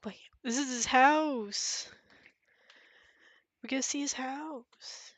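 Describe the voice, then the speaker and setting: A woman's voice: sits high, takes it slowly. A woman streamer giving casual live reactions to a game in short muttered asides. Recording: game music behind voice.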